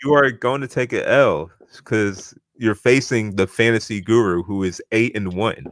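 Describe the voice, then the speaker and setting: A man's voice: on the low side, normal speed, no echo, studio quality. A young man co-hosting a podcast, talking trash in boastful, repetitive casual chat. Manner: boastful trash talk, repeats himself. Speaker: podcast co-host.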